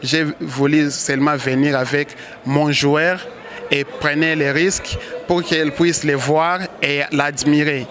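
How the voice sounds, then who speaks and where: A man from Congo, a football manager, answering interview questions in a halting way with many restarts and pauses. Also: fairly high pitch, fairly even intonation, a bit bright. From Congo (DRC).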